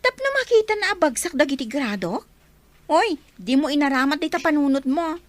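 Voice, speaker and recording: A woman's voice: high-pitched, expressive, a little fast. An actress in an Ilocano radio drama playing a headstrong, dreamy young woman, emphatic and plaintive, with an Ilocano accent. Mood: pouting. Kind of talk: Filipino radio drama acting.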